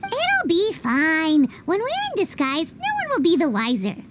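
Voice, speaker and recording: A woman voicing a tiny, excitable, bossy childlike fairy companion in a video game, with a squeaky voice. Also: a little slow, background noise, heavily acted. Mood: smug, confident. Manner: excitable, refers to self by name. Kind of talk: video game character voice acting.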